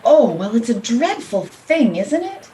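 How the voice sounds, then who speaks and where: A self-important, fretful businesswoman acted by a woman in a radio drama. Her highish voice swoops dramatically, and the recording is noisy. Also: sing-song, measured pace, mellow. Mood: dismayed. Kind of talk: radio play acting.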